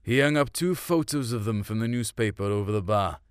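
A man's voice: fairly low pitch, expressive, brisk, clean tone, no room sound, clean studio sound. A man reading a first-person story in a colloquial, melancholic way. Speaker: short story narrator.

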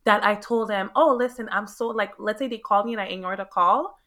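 A young woman from Montreal with a Quebec French accent, French her first language, chatting in an interview as a realtor, animated and quick to laugh. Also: medium pitch. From Montreal, Canada. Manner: animated storyteller, laughs often, acts out quotes. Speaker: guest, realtor. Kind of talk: casual interview chat.

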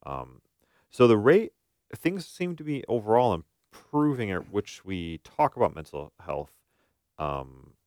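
A man's voice: fairly low pitch, big pitch swings, a little slow, darkish tone, no room sound, clean studio sound. A man co-hosting a talk show as a therapist, discussing informally and thinking aloud as he goes. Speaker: podcast co-host, therapist. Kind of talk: casual discussion.

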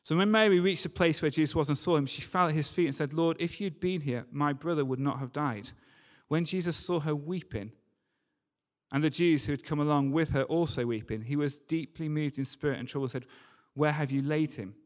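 A man's voice: on the high side, brisk. A man preaching a sermon, reading scripture and talking it through in a conversational, informal way. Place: large reverberant hall, church service. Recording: long hall reverb.

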